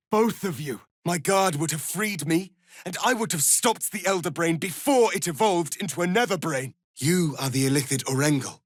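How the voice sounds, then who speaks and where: An actor playing a proud, stern, noble alien rebel leader in a fantasy video game, speaking formally and with deliberate, solemn authority. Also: male voice, on the high side, no room sound, clean studio sound. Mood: urgent command, then indignant. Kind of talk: dramatic fantasy game acting.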